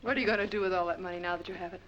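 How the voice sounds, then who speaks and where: An actress playing a wry, quick-witted newspaper woman in a 1940s film. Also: fairly low pitch, steady tone, highs cut off, natural voice. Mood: wry, brisk. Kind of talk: 1940s film noir acting. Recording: noisy 1940s film soundtrack.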